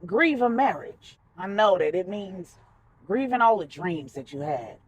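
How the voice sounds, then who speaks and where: A woman voicing a frank, steady best friend in a story, matter-of-fact and a little exasperated. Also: mid-range voice, measured pace, a little hiss or hum, close to an everyday voice. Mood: frank, comforting.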